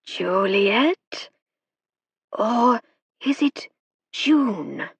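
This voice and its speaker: A woman's voice: fairly high pitch, sing-song, gravelly, breathy edge, dry recording, voice pushed up, heavily acted. Woman doing a growling foreign spirit guide. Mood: solemn. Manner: slow, solemn pronouncements.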